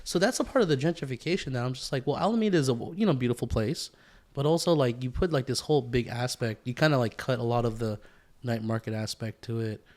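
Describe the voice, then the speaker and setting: A man's voice: medium pitch, fast, darkish tone. A man with an American accent chatting casually as a podcast co-host, rambling and repeating himself for emphasis. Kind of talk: casual conversational chat.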